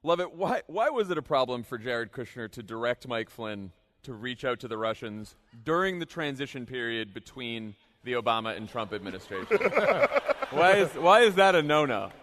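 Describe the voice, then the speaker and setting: An American man hosting a casual political panel, speaking in a wry, animated way. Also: mid-range voice, normal speed. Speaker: podcast host moderating a panel. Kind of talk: casual political panel talk before an audience.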